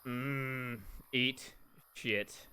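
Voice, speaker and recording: A man's voice: mid-range voice, fairly even intonation, drawn-out words, bright, forceful tone, clean tone. A man voicing a sassy, defiant young woman in a comedic visual novel, sarcastic and dramatic. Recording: prominent music behind the voice. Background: music.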